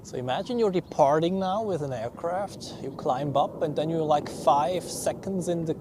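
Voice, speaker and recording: A man with a Swiss accent talks in a relaxed, thinking-aloud way while spotting planes. His voice sits a little high. Clear aircraft and traffic noise from outdoors runs behind him. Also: moderate pace. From Switzerland. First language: Swiss German. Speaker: plane-spotting livestream host. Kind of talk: live spotting commentary. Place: outdoors beside an airport runway. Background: aircraft and vehicle noise.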